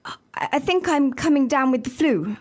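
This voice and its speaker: A woman voices a young employee calling in sick, her voice high and light. She pauses to cough and clear her throat as she lists what is wrong with her. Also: lively intonation, darkish tone. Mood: sickly. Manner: coughs and clears throat while listing symptoms.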